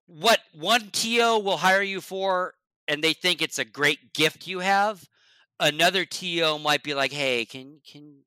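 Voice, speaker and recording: A man talking shop in a casual podcast chat as a guest from esports broadcasting. He is blunt and emphatic, swears, and often sinks to a low, quiet voice. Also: a little slow, clean tone, dry recording, rough recording.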